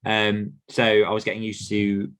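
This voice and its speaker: Male podcast guest, 30s, British, lowish voice, chatty storyteller in relaxed conversational interview. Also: a little slow, clean tone.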